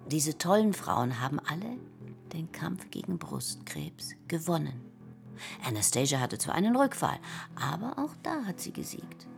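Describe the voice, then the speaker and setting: A woman's voice: low-pitched, big pitch swings, unhurried, creaky, soft background music, heavily acted. An actress playing a chatty, earnest middle-aged woman in a radio drama, emphatic and reassuring. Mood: earnest, encouraging.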